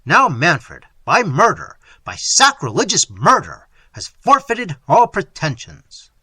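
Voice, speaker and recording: A male reader voicing an indignant nobleman who rebukes others loudly and scornfully. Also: fairly high pitch, wildly swooping pitch, takes it slowly, husky, dry recording, pitched above the performer's own voice, heavily acted. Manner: loud, rebuking.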